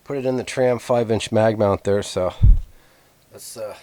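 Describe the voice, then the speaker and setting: A man's voice: lowish voice, fairly even intonation, a little slow, mellow, dry recording. A man hosting a gear review, talking casually and thinking aloud as he rambles through a hands-on demonstration. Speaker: host of a radio gear review channel.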